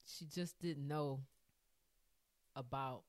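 A woman's voice: very deep voice, slow, a little mellow, no room sound. A woman in her forties, a podcast guest, speaking reflectively and earnestly with frequent restarts. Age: 40s. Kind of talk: casual group conversation.

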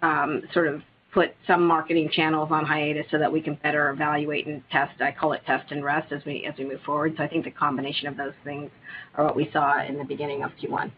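A man's voice: on the high side, monotone, quick pace. Man on a noisy, tinny phone line. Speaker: company executive answering analyst questions. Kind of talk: spoken answers on an earnings call.

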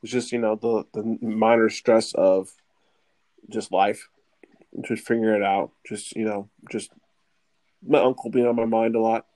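A man's voice: on the low side, little pitch movement, normal speed, pauses often, darkish tone. A young man co-hosting a casual student chat, laid-back and joking, chuckling often. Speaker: podcast co-host.

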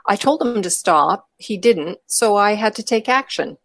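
A woman's voice: mid-range voice, animated, unhurried, fairly bright tone, no echo. A woman playing a mischievous, eccentric woman chatting with a friend in an audio drama. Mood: wry, storytelling. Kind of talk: amateur audio drama dialogue.

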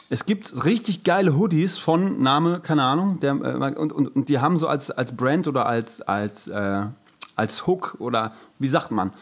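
A man co-hosting a podcast, chatting casually in a loose, slangy way with trailing asides. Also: fairly high pitch. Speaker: podcast co-host. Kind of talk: casual chat.

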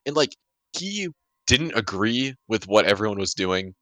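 A man's voice: on the low side, sing-song, a little slow, fairly bright tone, no echo. A man co-hosting a podcast, chatting informally with friends and acting out bits of paraphrased dialogue. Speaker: podcast co-host. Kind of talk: casual chat with friends.

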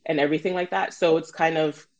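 A woman's voice: low voice, steady tone, fast, darkish tone, a little hiss or hum. A woman from Trinidad speaking casually as a panel guest, using lots of "like" and "you know.".

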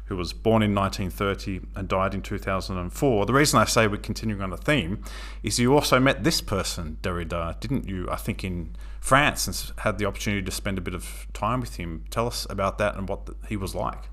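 A man's voice: low-pitched, brisk, few pauses, fairly bright tone. A man hosting an interview, asking polite, structured questions. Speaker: podcast host. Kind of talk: interview conversation.

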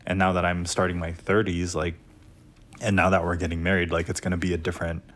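Man, 30s, very low voice, casual podcast co-host, relaxed. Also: quick pace. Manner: laid-back, filler-heavy talk. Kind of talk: casual chat.

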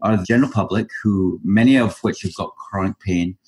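A man's voice: sits low, brisk, darkish tone, low-quality recording. A man hosting a podcast interview, welcoming his guest and talking emphatically. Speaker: podcast host.

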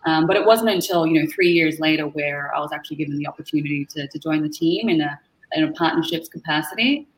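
A woman's voice: low-pitched, quick pace, low-quality recording. A woman with an Australian accent, chatting as an upbeat guest on an interview show, with lots of fillers. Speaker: guest, partner manager. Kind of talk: casual interview chat.

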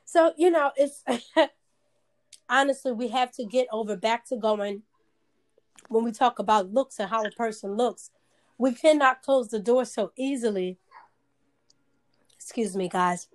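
A woman with a naturally high-pitched voice, co-hosting a casual chat and talking earnestly with emphasis. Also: a little slow, pauses often.

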